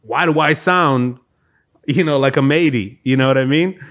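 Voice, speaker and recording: A man's voice: medium pitch, normal speed, a bit bright. A man from Venezuela and Miami talking casually and comedically as a podcast co-host, repeating phrases for emphasis. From Venezuela, raised in Miami. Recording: music playing behind the voice. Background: guitar music.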